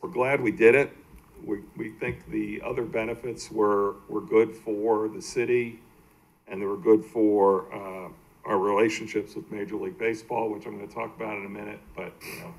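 An American man giving a slide presentation at a public meeting as a team executive, halting and plain-spoken. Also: lowish voice, little pitch movement, poor audio. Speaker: baseball team executive presenting to a city council. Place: indoor council meeting room.

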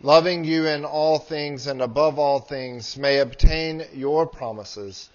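A man in his thirties, a priest reading prayers and scripture in a solemn, measured liturgical style. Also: a little high, muffled recording. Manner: solemn, measured, deliberate phrasing. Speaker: priest leading a church service. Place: small church with hard walls.

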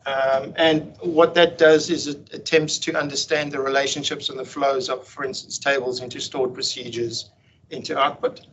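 A man presenting a technical meetup talk over slides on a video call, explaining in a relaxed way with frequent ums and restarts. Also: mid-range voice, moderate pace. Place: home room on a video call. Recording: dull lo-fi video call audio with faint hiss.

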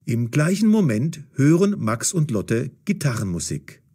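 A man reads a scripted story with measured, careful diction. His mid-range voice moves animatedly and has a slightly rough, husky edge. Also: mid-range voice, very animated, warm tone. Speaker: audio story narrator. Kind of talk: scripted narration of a language-learner story.

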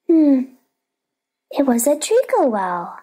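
Sleepy, touchy dormouse in a play, female performer: soft dark tone, unhurried, lilting. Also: high voice, sing-song, heavily acted. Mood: huffy. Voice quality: hoarse, feeble voice. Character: sleepy, touchy dormouse telling a rambling story. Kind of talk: stage play reading.

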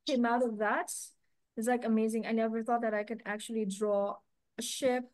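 A woman's voice: a little high, level tone, normal speed, darkish tone, no echo. A woman hosting an online alumni meetup over a video call, chatty and friendly, with many fillers. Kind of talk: casual moderating on video call.

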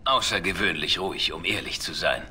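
An actor voicing a roguish, cynical elf outlaw in a video game, with a dry, wry way of talking. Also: male voice, sits low, fairly quick, sharp tone, slight background noise. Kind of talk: dramatic video game dialogue.

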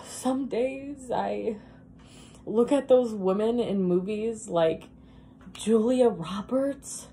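A woman performing a dramatic monologue as a wistful, self-deprecating woman who longs to be beautiful, speaking in a halting, confessional, emphatic way. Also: fairly high pitch, dark tone, slight background noise, pitched above the performer's own voice, full character voice. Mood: wistful, pleading.

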